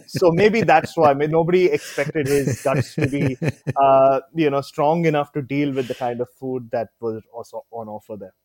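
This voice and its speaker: A man's voice: medium pitch, moderate pace, a little mellow, poor audio. A man on a podcast as a guest cricket writer, talking casually, joking and full of fillers. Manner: chatty, joking, many fillers.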